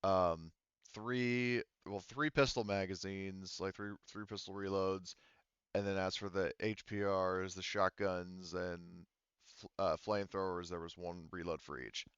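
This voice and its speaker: A man acting as game master, narrating a tabletop roleplaying session casually and haltingly, thinking aloud, over slightly lo-fi voice chat audio. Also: sits low, fairly even intonation, fairly bright tone, clear, resonant tone, dry recording.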